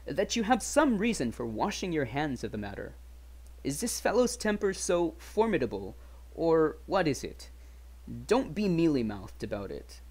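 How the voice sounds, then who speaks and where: An eager, forthright gentleman veteran from a storybook, acted by a male reader. His questions are direct and formal, delivered emphatically with widely swinging pitch. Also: very animated, normal speed. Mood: probing. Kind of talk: dramatic audiobook reading.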